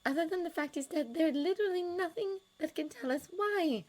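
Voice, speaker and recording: A woman reading aloud and voicing a shy, nervous young woman who speaks softly and hesitantly. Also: extremely high pitch, a little fast, pitched above the performer's own voice. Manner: soft, hesitant, uncertain.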